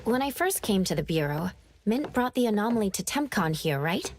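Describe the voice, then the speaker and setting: A woman's voice: medium pitch, very animated, moderate pace, a little mellow, a little hiss or hum, close to an everyday voice. An actress playing an earnest, inquisitive young woman investigator in an anime game, with a questioning manner. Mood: curious, earnest. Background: background music.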